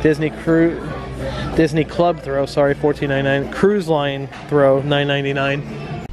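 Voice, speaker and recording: An American man in his forties with a slightly raised pitch talks casually, listing prices as he goes. He sounds relaxed and conversational. Music plays clearly behind him. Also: moderate pace. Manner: chatty, reads out prices while shopping. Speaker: vlog co-host. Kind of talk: casual chat. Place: parked car at night.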